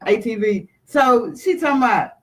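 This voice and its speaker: A woman telling a travel story in casual chat, animated and dramatic, jumping to high exclamations. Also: moderate pace. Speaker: vlogger.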